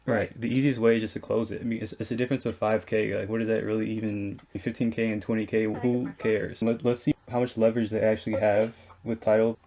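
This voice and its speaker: A man's voice: on the low side, level tone, fast talker, hardly any pauses, mellow. A man talking informally and in a conciliatory way in a casual business negotiation. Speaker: real estate wholesaler negotiating on calls.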